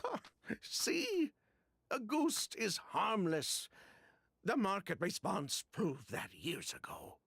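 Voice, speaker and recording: Anxious, defensive man, slow and halting. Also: high voice, huge pitch swings, vocal fry, a bit bright, breathy edge, full character voice. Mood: defensive, flustered. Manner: halting, stammering. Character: anxious, defensive man hiding a family secret. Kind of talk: video game voice acting.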